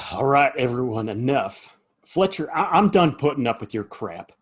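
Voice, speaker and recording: A man's voice: medium pitch, sing-song, moderate pace, slightly rough. A man acting a plain-spoken, weary saloon keeper in a western audio play, folksy and straight-talking. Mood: fed up.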